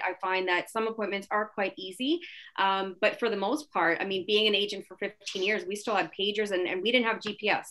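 A woman's voice: mid-range voice, fairly bright tone. A woman from Poland, a realtor on a webinar panel, earnestly and animatedly retelling a client meeting over a video call from a home office.

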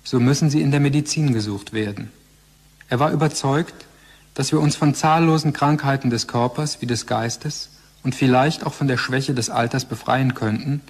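A man's voice: mid-range voice, normal speed, husky. A man reading scripted narration in a formal style. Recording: long reverberant hall.

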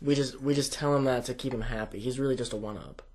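A young man co-hosting a casual chat show, animated and quick with asides, recorded on a desk mic in a small home studio. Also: medium pitch, husky. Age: young adult. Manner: animated, talks with his hands, quick asides. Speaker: co-host. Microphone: desk condenser mic.

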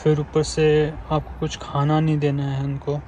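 A man's voice: a little high, monotone, normal speed, warm tone, highs cut off, slight background noise. A man in his thirties from India, casually narrating a hike vlog outdoors on a forest trail, chatting with viewers like friends through an action camera. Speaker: hiking vlogger. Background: guitar music.

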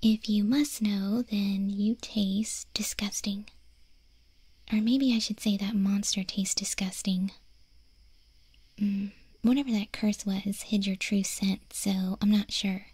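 A woman playing a teasing, caring wolf girl in an ASMR roleplay, speaking in a soft, breathy whisper with a gently deadpan manner. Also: mid-range voice, slow pace, no room sound. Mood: playfully teasing.

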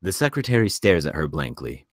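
A man reading screenplay stage directions as narrator, in a measured, descriptive way. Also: deep voice, very animated, no echo, studio quality.

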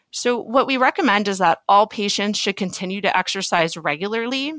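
A woman's voice: medium pitch, a bit bright, dry recording. Woman medical expert, dry close sound. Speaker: physician expert guest. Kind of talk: podcast interview, explaining clinical guidelines.

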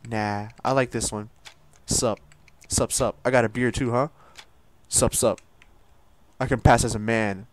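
Playful young man joking through a game. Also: mid-range voice. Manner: joking, laughing, swings from loud squeals to mumbles. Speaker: gameplay streamer. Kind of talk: casual let's-play commentary.

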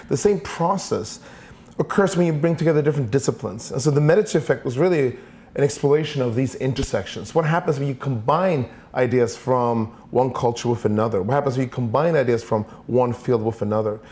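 Interview guest: man in his forties from Sweden, mid-pitched, thoughtful, repeats words while thinking, lapel mic in an office. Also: darkish tone. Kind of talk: conversational interview answers. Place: office with large windows.

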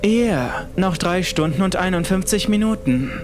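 A man's voice: high voice, lively intonation, moderate pace, music in the background, pitched above the performer's own voice. A man voicing a worried young son in a horror audiobook, urgent and questioning. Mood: casual. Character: worried young son, narrator of his own ordeal.

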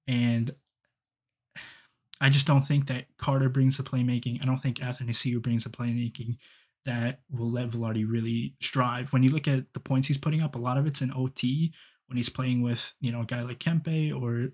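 A man's voice: medium pitch, fairly even intonation, moderate pace, darkish tone, breathy edge. A young man giving rambling solo hockey commentary with many ums and restarts, recorded on a headset in a small dim room. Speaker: hockey fan podcaster. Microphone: headset, webcam framing.

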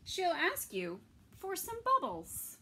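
A middle-aged woman reading a picture book aloud to toddlers, expressively, with pauses between phrases. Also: very high voice, huge pitch swings, bright, forceful tone, slight background noise. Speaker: children's story time reader. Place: small indoor room with bookshelves.

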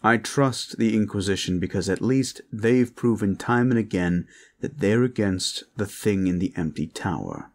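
A man performing an intimate audio roleplay as a protector, speaking in a reassuring, courteous, measured way. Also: fairly low pitch. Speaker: roleplay voice actor, playing a gentle protector.

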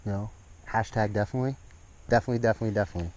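Give me a relaxed young guy narrating a fishing vlog on a rocky shore. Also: fairly low pitch, racing, poor audio. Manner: easygoing, chatty, talks to the fish and viewers. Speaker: fishing vlogger. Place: outdoors on a rocky lakeshore. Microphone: action camera, head-mounted and at arm's length.

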